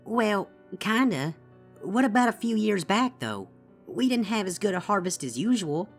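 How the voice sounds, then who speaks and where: A man's voice: high-pitched, lively intonation, moderate pace, gravelly, faint music behind, pitched above the performer's own voice. A man voicing a frightened young farm-girl pony who asks timid questions in a fanfic reading. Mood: uneasy.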